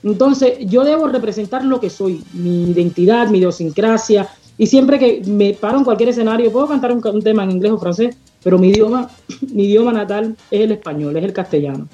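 A woman's voice: medium pitch, fairly quick, mellow, dull audio, soft background music. A Cuban woman singer with a Cuban Spanish accent, answering interview questions with passion and emphasis, sometimes hunting for a word. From Cuba. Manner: passionate, emphatic, searches for words. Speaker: singer guest.

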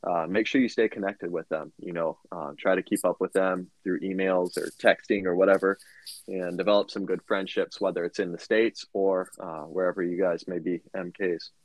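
Deep-voiced young man giving earnest advice. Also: fairly even intonation, moderate pace, dry recording, rough recording. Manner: earnest advice, many fillers. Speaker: podcast co-host. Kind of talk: casual podcast chat.

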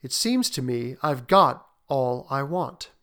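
Man putting on an eager, high-pitched old father. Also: very animated, normal speed. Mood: sheepish. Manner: inquisitive, keen. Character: eager, curious old father, credulous and stubborn. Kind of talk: dramatic storybook reading.

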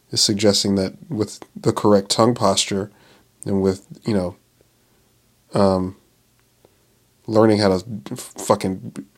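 A man in his late twenties talking in a casual, rambling monologue, swearing often, restarting his sentences and laughing at himself. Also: lowish voice. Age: 20s. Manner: profane, self-interrupting, laughs often.

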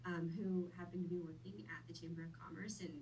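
A woman's voice: on the low side, steady tone, fast talker, a little mellow, far from the mic, slight background noise, low-quality recording. A woman in her twenties, born in Vietnam, chatting easily as a podcast guest with plenty of fillers. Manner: friendly, easygoing, many fillers. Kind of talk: casual interview chat.

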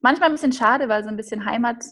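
Cheerful young woman, slightly high voice. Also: quick pace, a bit bright. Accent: standard German. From Austria, raised in Upper Bavaria. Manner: cheerful, chatty, many fillers. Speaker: guest ballet dancer. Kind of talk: casual podcast interview.

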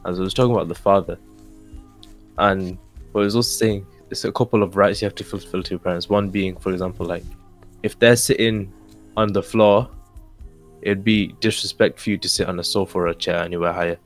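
Young male podcast co-host, deep voice, informal chat, faint music bed. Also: steady tone, a little fast.

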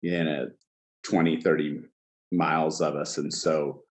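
Low-voiced chef, halting and chatty. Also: male voice, level tone. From Egypt. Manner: halting, many fillers like "you know", laughs often. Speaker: chef, guest. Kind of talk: casual interview.

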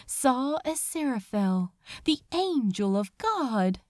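A woman reading a story aloud as dramatic literary narration, emotive, with drawn-out phrases and pauses. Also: a little high, very animated, darkish tone, no echo, clean studio sound. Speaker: audiobook reader of fan fiction.

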